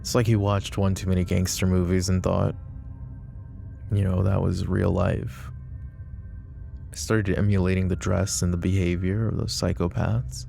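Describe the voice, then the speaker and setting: A man playing a possessive, protective mafia boss in an ASMR roleplay drama, with a deep, gravelly voice and a low, measured, quietly menacing way of talking. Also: little pitch movement, soft background music, exaggerated voice. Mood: bitter.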